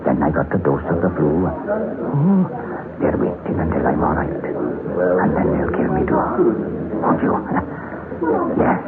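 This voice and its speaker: Haunted mine survivor, unhinged, brooding radio storyteller; low voice with wide swings, tinny noisy vintage broadcast. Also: male voice, huge pitch swings, slow pace, long pauses, exaggerated voice. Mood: eerily resigned. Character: haunted mine cave-in survivor telling his tale, unhinged. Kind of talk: old-time radio drama acting.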